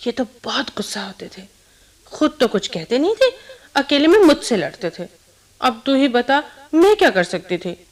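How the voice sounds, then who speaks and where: ऊँची, चहकती नवविवाहिता युवती की आवाज़. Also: female voice, fairly high pitch. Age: young adult. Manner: girlish, excitable, teasing, laughing through words. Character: giggly, gushing newlywed young Indian wife. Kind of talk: Hindi radio play acting.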